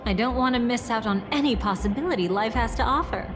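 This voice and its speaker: A woman's voice: on the high side, fast, lightly acted. A woman voicing a gleeful scheming trickster girl. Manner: playful, mocking, dramatic swings in tone. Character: scheming theatrical young woman, gleeful and greedy. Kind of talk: video game voice acting. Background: music.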